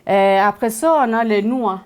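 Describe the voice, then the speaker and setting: The Persian-accented woman invited onto a local cooking show, talking through ingredients at the counter in halting second-language phrasing. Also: a little high, darkish tone, smooth. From Iran. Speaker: guest home cook. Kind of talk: casual cooking explanation.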